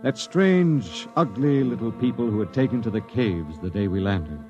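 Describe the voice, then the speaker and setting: An actor playing a grim, doomed space expedition captain in a 1950s radio drama, solemn and stern. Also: male voice, on the low side, lively intonation, moderate pace, close to an everyday voice. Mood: somber, brooding. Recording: dull vintage radio recording with music.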